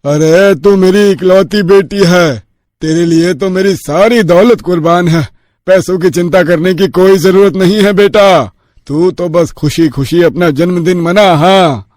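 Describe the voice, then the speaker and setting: A man's voice: high-pitched, measured pace, darkish tone, dry recording, studio quality. An actor playing a wealthy, doting father with a tender, indulgent and sentimental manner in a cartoon. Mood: affectionate. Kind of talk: cartoon character acting.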